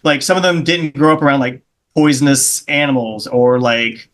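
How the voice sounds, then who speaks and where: A man's voice: medium pitch, moderate pace. A man from Charleston chatting as a podcast guest, rambling through anecdotes and acting out the other people in them. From Charleston, South Carolina. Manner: rambling anecdotes, acts out the voices of people in his stories. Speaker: guest comedian. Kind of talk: casual podcast chat.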